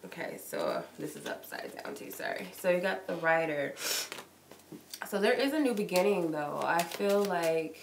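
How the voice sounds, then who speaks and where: Stuffy-nosed woman with a gravelly voice. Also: lowish voice, very animated, normal speed. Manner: chatty and loose, many likes and ums. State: stuffy nose from a cold. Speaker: tarot reader. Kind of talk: live-streamed tarot reading, casual chat. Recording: echoey room with noise.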